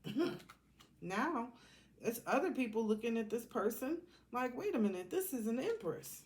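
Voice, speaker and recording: A woman's voice: fairly high pitch, big pitch swings, normal speed, a little mellow. A woman giving a casual, intuitive tarot reading, speaking informally and bluntly as she drifts between asides. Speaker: tarot reader.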